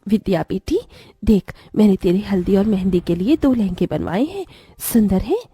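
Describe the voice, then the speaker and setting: A woman acting a husky, scolding middle-aged mother. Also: mid-range voice, lively intonation, moderate pace, warm tone, no room sound. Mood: brisk, bossy. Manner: scolding, taunting, dramatic. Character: sharp-tongued, scornful middle-aged mother, favours her own daughter. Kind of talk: Hindi cartoon story voice acting.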